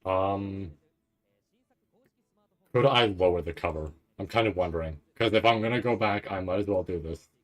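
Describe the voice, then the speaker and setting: Low-voiced man giving casual, halting commentary. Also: rough recording. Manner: halting, thinking aloud, frequently repeating himself. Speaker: anime reaction streamer. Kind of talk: casual off-the-cuff trailer breakdown commentary.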